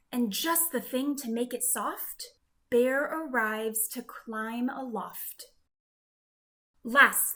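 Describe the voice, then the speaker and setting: A woman narrating a rhyming children's picture book in a measured, expressive storytelling manner. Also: a little high. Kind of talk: scripted rhyming story read-aloud for children.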